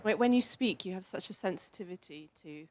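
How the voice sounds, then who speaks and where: A woman's voice: on the low side, lively intonation, fairly quick. A woman in an audience asking a casual, tentative question, searching for her words. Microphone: handheld audience microphone.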